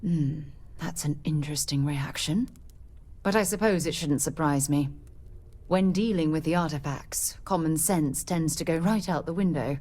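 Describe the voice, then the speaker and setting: Low female voice, husky, earnest sci-fi explorers' leader, measured, slightly reserved. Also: darkish tone. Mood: thoughtful. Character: earnest, thoughtful woman leading a space explorers' guild. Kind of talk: video game dialogue acting.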